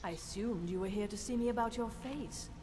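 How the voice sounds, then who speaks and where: An actress playing a haughty, disdainful face sculptor in a fantasy game, with a condescending manner. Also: female voice, on the high side, fairly quick, mellow, a little hiss or hum, lightly acted. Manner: condescending, artful. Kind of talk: fantasy video game acting.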